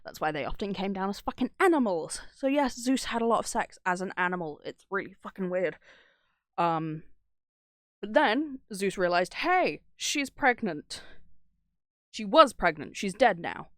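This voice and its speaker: A woman's voice: medium pitch, big pitch swings, normal speed, a bit bright, no room sound, studio quality. A woman streamer chatting casually and rambling through stories in a sweary, irreverent way. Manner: acts out dialogue when retelling stories. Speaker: streamer reacting to horror videos. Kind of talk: casual rambling chat, storytelling.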